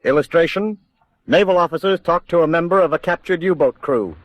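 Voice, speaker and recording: An actor playing a terse, declamatory announcer reading out wartime bulletins for radio. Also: male voice, fairly high pitch, a little fast, no echo, close to an everyday voice. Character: clipped male announcer calling out war statistics. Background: music.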